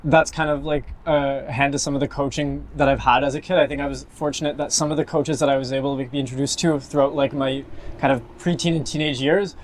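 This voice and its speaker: A man's voice: medium pitch, steady tone, quick pace. A young man from Toronto with a Canadian accent, talking casually as an interview guest about himself. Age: young adult. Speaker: guest, university soccer player. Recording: faint background ambient noise.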